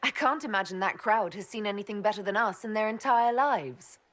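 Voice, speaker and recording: An actress playing a self-assured elven heroine in a video game, speaking in a dry, confident, conversational way. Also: female voice, mid-range voice, brisk, fairly bright tone, lightly acted. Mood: confident, wry. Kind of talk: dramatic video game acting.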